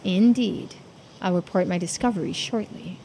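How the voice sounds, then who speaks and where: A woman voicing a diligent assistant investigator in a mystery game, giving formal, measured reports. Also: lowish voice, vocal fry, dark tone, a little hiss or hum. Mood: formal, serious. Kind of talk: voiced visual-novel dialogue.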